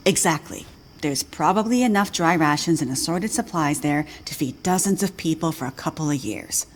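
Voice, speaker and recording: An actress playing a grave older female scientist in a video game cutscene, speaking in a measured, solemn way. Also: sits low, expressive. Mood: knowing.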